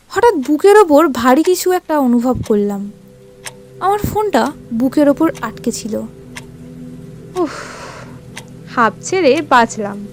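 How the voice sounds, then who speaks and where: A woman narrating a first-person horror story in a suspenseful, theatrical way with dramatic pauses. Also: sits high, slight background noise. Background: music.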